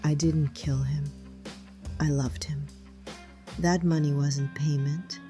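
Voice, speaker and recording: A woman playing a guarded, grieving murder suspect in a noir audio drama, hushed and subdued. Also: deep voice, steady tone, slow, music in the background. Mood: guarded, sorrowful.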